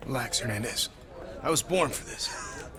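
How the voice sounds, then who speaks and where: An actor playing a swaggering American navy pilot in a war game, boastful and breezy. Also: male voice, medium pitch, huge pitch swings, moderate pace, a crowd in the background. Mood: cocky. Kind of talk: cinematic video game acting. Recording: loud engines and outdoor noise.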